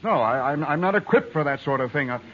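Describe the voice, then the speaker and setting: A man's voice: fairly high pitch, animated, fast talker, close to an everyday voice. A man playing an arrogant, demanding rich man in a 1950s radio western, full of bluster and threats. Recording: muffled old radio recording.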